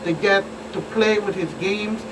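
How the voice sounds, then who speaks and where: A woman's voice: mid-range voice, a little slow. Caribbean woman, far from a noisy mic. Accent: Virgin Islands Caribbean. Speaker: police commander, guest expert. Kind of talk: talk show interview, recounting cases. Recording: distant mic, noisy room hum.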